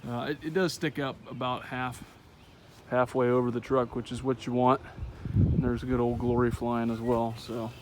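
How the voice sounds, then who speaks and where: A man's voice: mid-range voice, moderate pace, background noise. Easygoing man explaining a truck install. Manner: relaxed, lots of "uh" fillers, folksy. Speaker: truck owner showing an install. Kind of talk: casual how-to walkthrough. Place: outdoors beside a truck.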